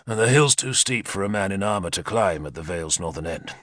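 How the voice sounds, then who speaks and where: A man's voice: deep voice, lively intonation, fairly quick, a bit bright, no room sound, clean studio sound. A man voicing a confident male war leader who eagerly lays out battle plans, in a decisive, commanding manner. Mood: calm. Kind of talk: audiobook character reading.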